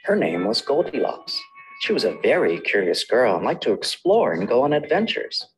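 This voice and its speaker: Lively sing-song librarian telling stories. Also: male voice, medium pitch, moderate pace, faint music behind, poor audio. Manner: gentle, sing-song storytelling, addresses children directly. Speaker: children's librarian. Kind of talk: children's story time narration.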